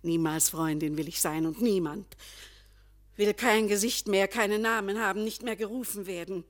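An actress playing a weary, fearful, brooding lady in a poetic radio play, with a dramatic, halting delivery. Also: medium pitch, lightly acted. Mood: bitter, resigned. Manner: dramatic, halting, drifting into breathy hush. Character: weary, fearful, brooding lady sensing sudden old age.

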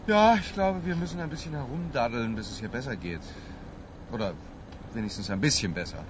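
An actor in a radio drama playing an evasive middle-aged husband who talks placatingly and turns agitated under pressure. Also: male voice, medium pitch, lively intonation, brisk, noisy background, lightly acted. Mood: falsely cheerful. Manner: placating, swinging from muttered to loud.

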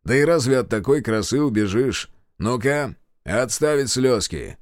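A man playing a calm, wry Soviet militia major who speaks in a dry, measured way with a hint of irony. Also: medium pitch, dry recording, close to an everyday voice. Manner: dry, measured, slightly ironic.

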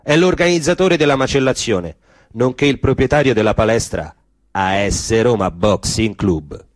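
A man's voice: medium pitch, moderate pace, dry recording. A man delivering scripted, theatrical narration of a story.

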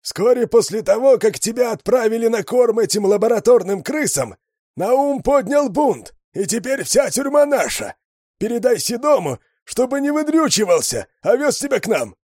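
A man voicing a hoarse, wheezing male prison gang boss who yells excitedly over a radio. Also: extremely high pitch, moderate pace, no echo, studio quality, voice pushed up, heavily acted. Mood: yelling excitedly. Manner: loud and excited, yelling. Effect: radio transmission.

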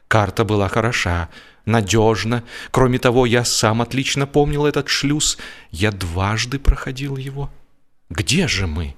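A man narrating a humorous literary story as an audiobook reader, with expressive delivery. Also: medium pitch. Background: music behind the voice.

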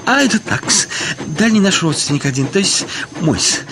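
A man's voice: sits high, big pitch swings, normal speed, background noise. A man acting a meek, anxious middle-aged husband in a radio drama, obsequious and pleading in manner. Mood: sheepish.

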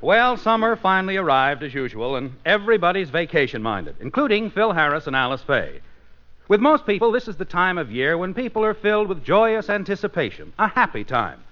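A man's voice: high-pitched, big pitch swings, brisk, a little hiss or hum. A man announcing a 1950s radio show in a scripted, presentational style, on a dull vintage recording.

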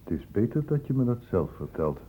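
An actor playing a patient, probing public-health doctor in a radio drama, explaining and questioning insistently. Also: male voice, mid-range voice, very animated, brisk, mellow, a little hiss or hum, natural voice. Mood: probing, persuasive. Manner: insistent, explanatory questioning with short pointed prompts. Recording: dull lo-fi old radio play recording.

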